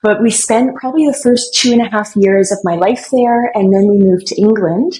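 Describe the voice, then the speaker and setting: A woman guest, born in Germany and raised in Canada, speaks at an ordinary mid pitch. She answers in a friendly, conversational way and laughs easily. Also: normal speed, warm tone, a little hiss or hum, rough recording.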